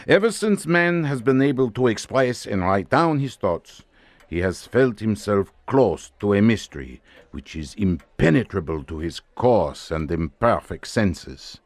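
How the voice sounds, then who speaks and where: An actor playing a self-assured French doctor and hypnotist in a radio drama, measured, theatrical and commanding. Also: male voice, lowish voice, no echo.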